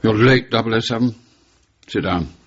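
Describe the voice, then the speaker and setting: An actor playing a stern older British intelligence chief in a radio drama, gruff and brusque, with an English accent. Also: male voice, fairly low pitch, normal speed, highs cut off, noisy background, close to an everyday voice. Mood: brusque, businesslike. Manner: brusque, hesitant on personal matters, authoritative.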